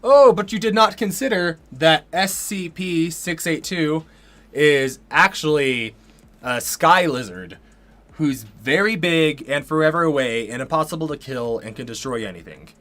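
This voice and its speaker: A man co-hosting a casual livestream Q&A, hoarse from a cold and losing his voice, talking in a rambling way with many ums and restarts. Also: on the high side, lively intonation, a little slow, a bit bright. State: losing his voice, a little sick. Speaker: co-host of a livestream Q&A.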